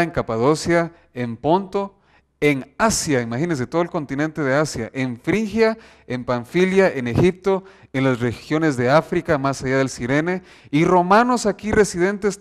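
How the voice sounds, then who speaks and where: A man's voice: fairly high pitch, animated, moderate pace. A man preaching a sermon, reading scripture aloud and stressing key phrases with emphasis.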